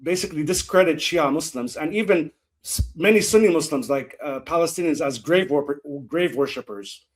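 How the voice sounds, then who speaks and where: A man in a political podcast discussion, speaking haltingly with fillers. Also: on the high side.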